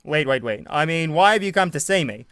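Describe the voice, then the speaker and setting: A man's voice: on the high side, animated, brisk, a bit bright, clean tone, no echo, clean studio sound. An actor playing a fussy, literal-minded young fiancé who is defensive about his word choices. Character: pedantic young man, the client's fiancé.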